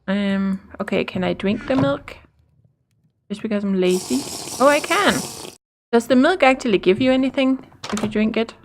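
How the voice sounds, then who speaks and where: Danish lady gaming chat, bit gravelly, chatty and upbeat, faint hiss behind. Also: on the high side. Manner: chatty, upbeat, quick laughs. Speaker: Minecraft teacher and co-host.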